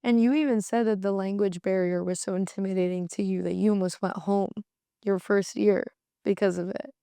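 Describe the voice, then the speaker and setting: A woman's voice: mid-range voice, steady tone, a little fast, warm tone, clear, resonant tone, dry recording, clean studio sound. A woman hosting a podcast, asking questions in an upbeat, encouraging way. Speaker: podcast host. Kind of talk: interview questions.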